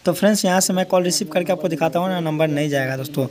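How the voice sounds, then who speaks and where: Male tutorial host, rapid and highish delivery, informal and repetitive, echoey reverberant recording. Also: a crowd in the background. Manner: repetitive, addresses viewers as friends constantly. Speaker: host of a tech tutorial channel. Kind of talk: informal step-by-step app tutorial. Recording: echoey hall-like room, faint noise.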